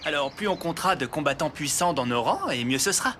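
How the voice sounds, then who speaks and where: A man's voice: on the high side, expressive, quick pace, noisy background, close to an everyday voice. A man voicing an earnest young male student fighter in a French anime dub.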